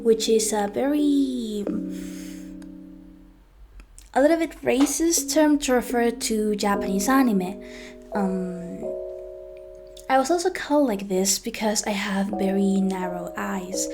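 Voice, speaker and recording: Young woman, high-pitched voice, hesitant storytime monologue, clear guitar music behind. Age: young adult. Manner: hesitant, with frequent ums and self-corrections. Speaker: vlogger.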